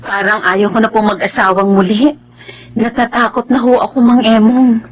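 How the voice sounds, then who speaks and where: A woman's voice: a little high, level tone, moderate pace, lightly acted, poor audio. A woman playing a humble, weary widowed mother in a radio drama. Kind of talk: Tagalog radio drama acting.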